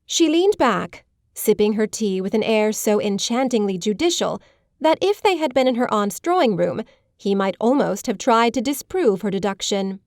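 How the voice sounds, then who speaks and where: A woman narrating a literary audiobook in a measured, polished storytelling manner. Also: mid-range voice, expressive, a little mellow, dry recording, clean studio sound.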